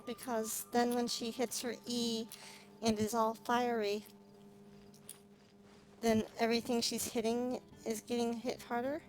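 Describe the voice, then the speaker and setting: A woman livestreaming a game, chatting casually and thinking aloud in a halting, musing way. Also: fairly high pitch, darkish tone, low-quality recording. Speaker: livestreamer playing a video game. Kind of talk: casual gameplay stream chat. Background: music.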